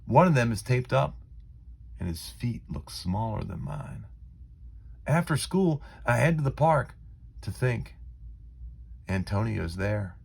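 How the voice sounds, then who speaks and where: A man reading a children's story aloud in an expressive, dramatic way with deliberate phrasing. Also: mid-range voice, creaky, husky. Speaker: storybook reader.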